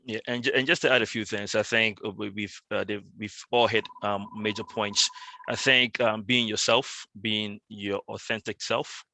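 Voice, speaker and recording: A man's voice: fairly low pitch, steady tone, moderate pace, pushed and bright, no room sound, rough recording. A man speaking as a finance panelist on a video call, hesitant at first and then explaining plainly.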